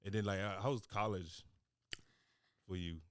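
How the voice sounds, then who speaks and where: A man hosting a podcast, asking questions in a laid-back way with fillers, on a studio boom mic. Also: fairly even intonation, normal speed, a bit bright, clear, resonant tone, no room sound. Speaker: podcast host. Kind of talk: casual interview questions. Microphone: boom-mounted studio mic.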